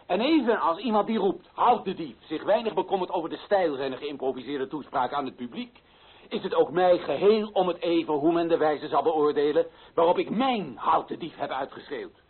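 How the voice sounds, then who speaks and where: An actor playing an impassioned, indignant author declaiming against colonial injustice in a radio play, his voice husky and his delivery emphatic. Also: fairly high pitch, lively intonation, normal speed, a little hiss or hum, close to an everyday voice. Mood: resolute. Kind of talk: dramatic radio play monologue. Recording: tinny, phone-like narrow-band sound.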